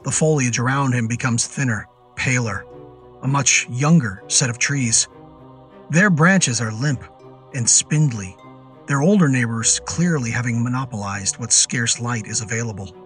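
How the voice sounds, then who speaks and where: A man tells a scripted horror tale as a podcast narrator. His delivery is deliberate, literary and descriptive, at a medium pitch, with music and sound effects behind him. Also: a bit bright. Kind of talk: scripted dramatic story narration. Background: music and rain sound effects.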